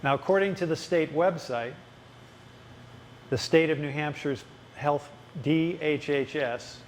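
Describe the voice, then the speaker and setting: A man with a somewhat high voice explains things at a town hall, pausing often for ums and restarting his sentences. His voice carries a long hall echo under a faint hiss. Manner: explanatory, halting with many fillers and restarts. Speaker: city official at a ward town hall. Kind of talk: public town hall answers. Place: town hall meeting room.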